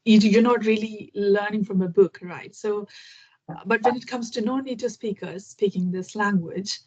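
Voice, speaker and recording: Husky woman hosting on dull audio. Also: mid-range voice, normal speed, darkish tone. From Kerala, India. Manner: polite, hesitant, many "uh" and "um". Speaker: host. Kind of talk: conversational interview for learners.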